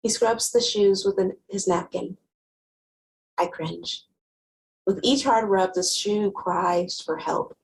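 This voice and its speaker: A woman playing a wry, guarded, sharp-tongued teenage girl who narrates her own story conversationally in a dramatic novel reading. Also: medium pitch, takes it slowly, pauses often, rough recording. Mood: playful. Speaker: actor.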